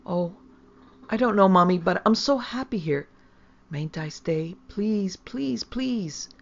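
Storybook child role, played by a woman: gentle but fretful little girl, imploring, slightly creaky voice. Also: fairly low pitch, normal speed, muffled recording. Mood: tearful, imploring. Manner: querulous, imploring.

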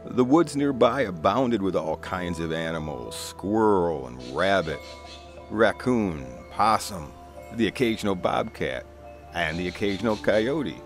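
A man's voice: low-pitched, expressive, unhurried. A middle-aged man narrating a first-person spooky story in a conversational, folksy way. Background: music.

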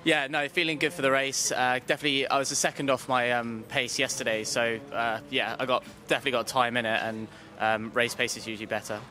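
A man's voice: medium pitch, level tone, fast talker, sharp tone, soft background music. A man in his thirties, a racing driver, chatting casually into a handheld microphone in a paddock tent. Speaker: amateur racing driver being interviewed. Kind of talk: casual interview chat. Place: inside a paddock awning tent. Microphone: handheld interview microphone.

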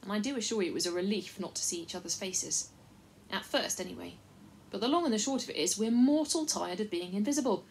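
A woman's voice: mid-range voice, fast, a little mellow, slight background noise, lightly acted, rough recording. A woman voicing the bumbling, long-winded male chief of an invisible folk in an audiobook, speaking in a rustic, folksy way. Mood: aggrieved. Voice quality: rustic, rambling, folksy speech.

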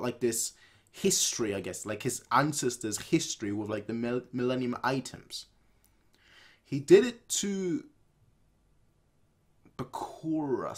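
Casual male reactor in his twenties, very lively intonation, thinks aloud in stop-start repeats. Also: a little high. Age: 20s. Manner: halting, repeats words while thinking aloud. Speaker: reaction video host. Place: small room at home, indoors.

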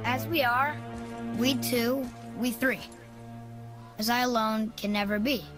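An actor playing an eager, curious young boy in a video game, earnest and excitable. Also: extremely high pitch, takes it slowly. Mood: thoughtful, curious. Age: child voice, young boy. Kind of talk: video game character acting. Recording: game music behind voice.

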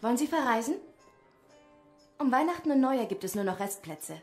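A woman's voice: fairly high pitch, expressive, fairly quick, faint music behind. An actress playing a polite young female travel agent in radio drama dialogue. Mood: helpful, earnest. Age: young adult.

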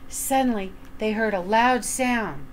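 An older woman reading a children's picture book aloud at home, enunciating deliberately and putting questions to the young listener. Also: on the high side, slight background noise. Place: small room at home, indoors.

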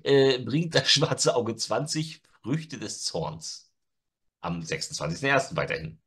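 A man's voice: mid-range voice, very animated, unhurried, fairly bright tone. A middle-aged man chatting casually as co-host of a show, recorded at home on a video call. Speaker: co-host of an audio-drama news show. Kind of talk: casual chat. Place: room at home, indoors.

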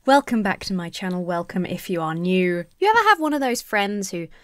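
A young woman talks into a close desk mic with a slight croak left over from a cold. Her delivery is sarcastic and animated, with quick asides. The sound is dry and close, with no room echo. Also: mid-range voice. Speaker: commentary YouTuber. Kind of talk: casual commentary with sponsor read. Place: small home room, seated in gaming chair. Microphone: studio mic on stand near face.

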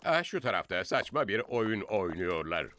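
A deep, lively cartoon voice of a child playing courtier. The delivery is obsequious and full of exaggerated deference, swinging up and down. Loud music runs behind it. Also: female voice, normal speed. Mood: fawning. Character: fawning little child playing a princess's flattering courtier.

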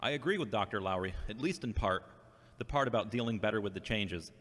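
A man's voice: fairly low pitch, expressive, fast, a bit bright. A man playing an earnest, devout, searching younger brother who questions himself, in an amateur audio drama. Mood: earnest, reasoning. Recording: reverberant hall-like sound.

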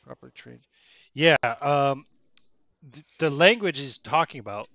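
A bearded middle-aged man in a small home room, narrating a tabletop game as game master on a headset mic with a chatty, relaxed manner. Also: medium pitch, a little slow, no room sound, lo-fi sound. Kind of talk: narrating a tabletop game over a video call.

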